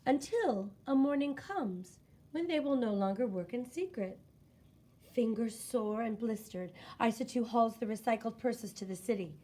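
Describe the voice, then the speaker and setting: A woman reading a children's book aloud in expressive, scripted narration with deliberate, dramatic phrasing. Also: a little high.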